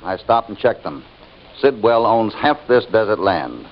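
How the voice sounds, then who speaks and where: A man playing a calm, assured cowboy hero in an old radio western, unruffled, wry and authoritative. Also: lowish voice, measured pace. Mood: assured, commanding. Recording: muffled old radio recording.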